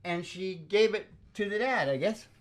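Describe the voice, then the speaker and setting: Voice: medium pitch, expressive, moderate pace. A host of a music rehearsal show chatting casually, telling rambling, salty, folksy stories between songs. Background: acoustic guitar.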